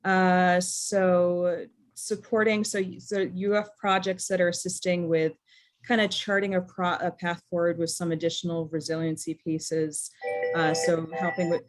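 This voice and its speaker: American woman with a lowish, hesitant voice. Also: level tone, a little slow, slight background noise. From New England, USA. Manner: hesitant, thinking aloud, hedging with 'sort of' and 'you know'. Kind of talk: informal spoken presentation at a meeting.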